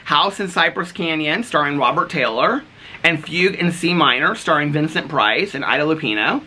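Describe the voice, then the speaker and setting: An American man chatting casually to camera as a vlogger, in a gushing, easily excited manner. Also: on the high side, normal speed. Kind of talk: casual show-and-tell chat to camera. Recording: clearly noisy home recording with clicks.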